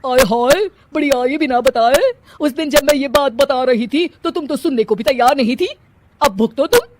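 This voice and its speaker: A woman's voice: sits high, moderate pace, very noisy, natural voice. A woman voicing a frank, defiant middle-aged mother of the bride in a cartoon, with a plain-spoken, pointed manner. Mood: indignant, taunting.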